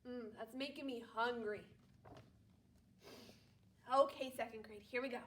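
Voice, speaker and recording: A woman with a high-pitched voice speaks as a primary school teacher reading to her class. Her delivery is animated and addressed straight to young children. She is picked up by a microphone some distance away. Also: measured pace, a little hiss or hum. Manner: animated, exclamatory, talks directly to young children. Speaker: second grade teacher. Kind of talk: children's storybook read-aloud.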